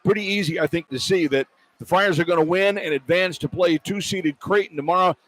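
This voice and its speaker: Higher-pitched male sports radio host. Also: fairly quick, a bit bright, low-quality recording. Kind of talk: pre-game sports commentary and chat.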